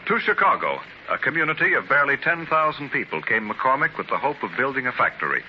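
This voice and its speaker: A man's voice: mid-range voice, big pitch swings, quick pace, a little hiss or hum, close to an everyday voice. A man narrating a 1930s radio drama in an earnest, storytelling style. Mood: uplifting. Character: earnest male narrator of an industrial biography drama. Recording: dull 1930s radio transcription, music behind.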